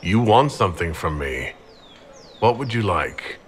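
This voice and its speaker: An actor playing a decisive, blunt heroic leader in a video game. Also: male voice, deep voice, sing-song, measured pace, a little hiss or hum. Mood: questioning, firm. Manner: direct, commanding. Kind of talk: video game dialogue acting.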